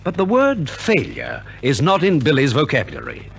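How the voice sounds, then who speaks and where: A man's voice: on the high side, wildly swooping pitch, normal speed, a little hiss or hum. A man reading scripted narration for a 1950s radio programme, on a vintage recording.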